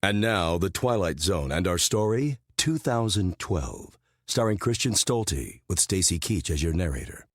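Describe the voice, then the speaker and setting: A man's voice: sits low, sing-song, measured pace, no room sound. A man announcing a radio drama in a dramatic, scripted introduction style.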